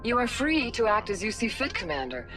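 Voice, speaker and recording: Formal, melodic alien councilor. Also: female voice, a little high, sing-song, over music. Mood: diplomatic. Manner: formal, measured. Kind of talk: video game dialogue acting.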